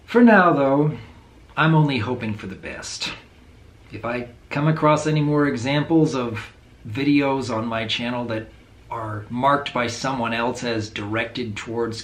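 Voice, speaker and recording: A man in his thirties with a low voice, talking earnestly to camera in a home video, thoughtful and openly unsure. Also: unhurried, echoey room. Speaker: video creator. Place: small room indoors at home.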